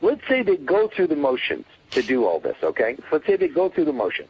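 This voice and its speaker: A man's voice: fairly high pitch, wildly swooping pitch, fairly quick, slight background noise. A man urgently persuading someone in a phone argument, pleading, repeating himself and cutting in, on a dull recording. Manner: pleading, repetitive, interrupting.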